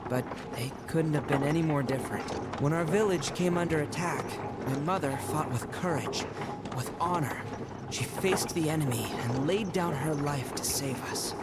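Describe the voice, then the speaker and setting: A man's voice: a little high, very noisy, lightly acted. A young man voicing a proud, headstrong young talking beast in a video game, earnest and brash. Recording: loud music under the voice. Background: loud game music.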